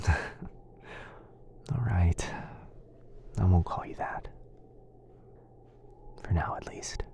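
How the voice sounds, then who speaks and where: A man softly whispering an ASMR boyfriend roleplay as a kind, caring wizard, in a soothing, hushed manner. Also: low voice, drawn-out words, gravelly, long pauses. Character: kind, caring, smitten young male wizard.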